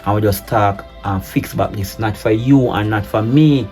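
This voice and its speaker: A man playing a hurt, betrayed husband, speaking low and measured, then forcefully. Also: faint music behind, natural voice. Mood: accusing. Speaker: husband in a domestic drama skit. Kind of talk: improvised-sounding skit acting.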